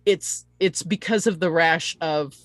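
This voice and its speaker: A woman's voice: lowish voice, a little slow, no echo. A woman hosting a conversational interview, talking in an emphatic way and given to tangents. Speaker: interview host.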